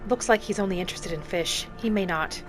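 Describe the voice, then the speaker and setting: A woman narrates a cozy fantasy game in character, with music playing behind her. Her phrasing is courteous and a little formal. She sits at a middle pitch as she talks through the roleplay. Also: fairly quick.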